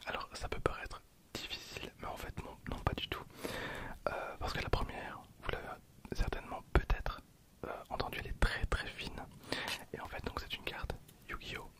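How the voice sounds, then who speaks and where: A man in his twenties whispering for an ASMR video, soft and hesitant with frequent fillers, speaking close to a recorder. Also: moderate pace. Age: 20s. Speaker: ASMR creator. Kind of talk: whispered ASMR card opening. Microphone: handheld recorder behind the camera.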